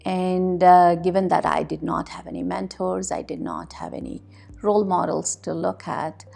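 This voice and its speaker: A middle-aged woman from South Asia telling her story in a reflective interview, halting with frequent pauses. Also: fairly low pitch, expressive, creaky, darkish tone, slightly rough. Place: quiet office meeting room. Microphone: lapel mic.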